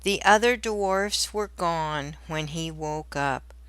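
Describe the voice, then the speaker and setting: A woman reads a children's story in a slightly low voice. She is very slow and deliberate, yet expressive as a storyteller. The sound is dry and close. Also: smooth, no echo. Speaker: storybook narrator. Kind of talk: scripted children's read-aloud narration.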